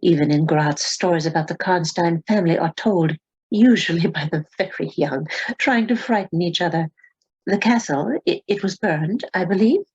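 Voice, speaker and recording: An actress playing a curious, probing woman with halting, inquisitive speech in a radio drama. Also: lowish voice, darkish tone, breathy edge, muffled recording.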